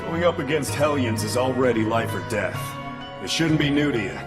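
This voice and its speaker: A man's voice: mid-range voice, animated, normal speed, over loud music, lightly acted. A man voicing a brash, blunt fighter who talks casually in a fantasy game. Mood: blunt goading. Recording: loud game score behind.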